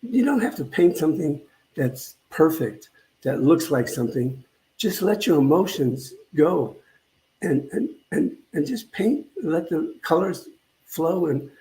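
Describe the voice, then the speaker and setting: An older man giving a conversational spiritual talk as a minister, reflective and halting, often repeating phrases as he goes. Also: a little high, mellow, low-quality recording.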